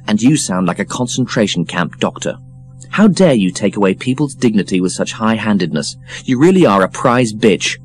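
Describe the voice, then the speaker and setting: A man voicing an earnest, hopeful, gently indignant young woman in an audiobook. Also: on the low side, animated, moderate pace, faint music behind, natural voice. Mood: reproachful. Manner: earnest, protesting. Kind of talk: audiobook character voice.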